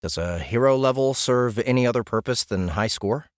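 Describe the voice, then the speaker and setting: A man's voice: medium pitch, lively intonation, normal speed, no echo, clean studio sound, natural voice. A man voicing a curious young man asking questions in an audiobook. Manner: casual, slightly unsure questions. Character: young man new to a game world, curious. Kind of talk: audiobook character voice.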